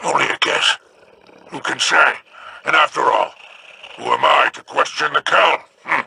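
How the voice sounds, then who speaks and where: An actor voicing a sly, scheming male alien crime lord in a video game, gravelly and wry with insinuating remarks. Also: on the high side, expressive, a little slow, bright, forceful tone, a little hiss or hum, heavily acted.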